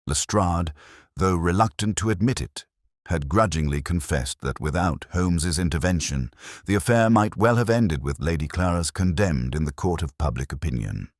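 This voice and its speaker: Very deep-voiced male storyteller. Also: animated, no echo, clean studio sound. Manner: measured literary storytelling. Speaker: audiobook narrator. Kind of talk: scripted dramatic narration of a detective story.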